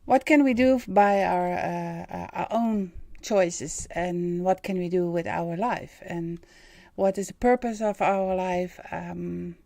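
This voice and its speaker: A woman in her fifties with a Dutch accent, hosting a livestream in halting English and pausing often to search for words. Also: on the low side, creaky, warm tone, no echo. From Netherlands. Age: 50s. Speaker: livestream host and medium. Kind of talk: hesitant livestream monologue.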